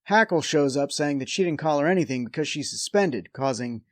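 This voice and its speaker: A man's voice: fairly high pitch, animated, fairly quick, no room sound. A man hosting a review show, delivering scripted comedic recap narration with a wry, sarcastic edge.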